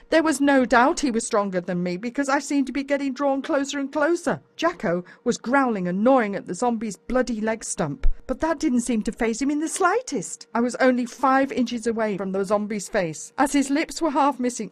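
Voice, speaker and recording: A woman with an Australian accent reads a first-person story as a dramatic, expressive audiobook narrator. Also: fairly high pitch, no room sound.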